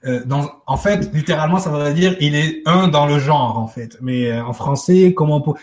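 A man's voice: on the high side, normal speed, darkish tone. A man speaking informally as a guest on a live spiritual web show, acting out little exchanges as he explains. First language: French.

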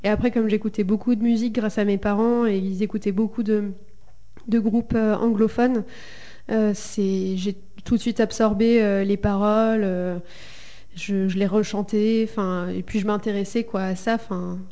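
Soft-toned young woman, hesitant. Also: medium pitch, steady tone, moderate pace. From Germany. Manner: hesitant, many fillers, laughs easily. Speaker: singer in a folk duo. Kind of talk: casual radio interview.

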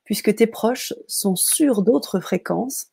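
Woman host reading chat comments, gravelly low-key voice, lots of euh. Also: takes it slowly, no room sound. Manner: warm, enthusiastic, hesitant filler-heavy reading. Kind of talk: hosting a live stream, reading viewer comments.

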